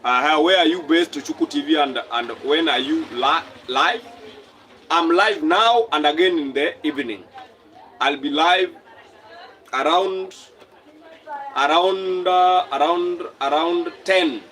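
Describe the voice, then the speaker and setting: A man's voice: fairly high pitch, slow, pushed and bright, poor audio. Kenyan man chatting on a live stream. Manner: reads viewer comments aloud and repeats phrases. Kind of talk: casual live-stream chat.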